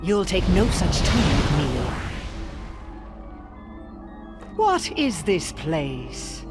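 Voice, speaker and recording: An actress playing a cold, menacing evil sorceress in a game cutscene, speaking in a stately, pompous, theatrical way. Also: female voice, lowish voice, big pitch swings, slow. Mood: haughty. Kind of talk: animated game cutscene acting. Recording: loud music behind the voice.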